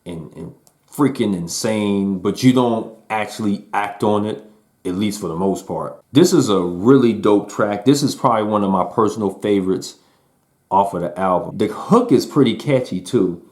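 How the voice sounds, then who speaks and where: A man talks through an album in a loose, chatty way. His voice sits a little low and he fills his sentences with slang and easy fillers. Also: moderate pace. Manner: relaxed and conversational, with slang and fillers. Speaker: music reviewer. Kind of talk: casual album review.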